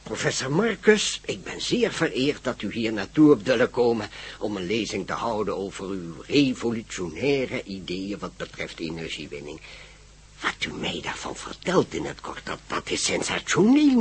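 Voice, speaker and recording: A man's voice: medium pitch, wildly swooping pitch, moderate pace, breathy edge, heavily acted. An actor in a radio drama playing a pompous, effusive male professor who is easily amused.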